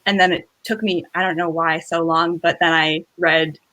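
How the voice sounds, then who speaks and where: Bright, close-sounding woman chatting. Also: lowish voice, steady tone, moderate pace, no room sound. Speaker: book discussion co-host. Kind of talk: casual chat about books.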